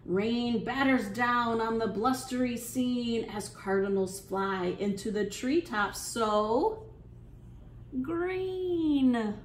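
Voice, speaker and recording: A woman hosting an interactive children's storytime, speaking in an encouraging, sing-song way and pausing with questions for young listeners. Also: fairly high pitch, echoey room, slight background noise. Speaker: children's storytime librarian and host. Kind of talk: interactive children's storytime, reading aloud and leading songs.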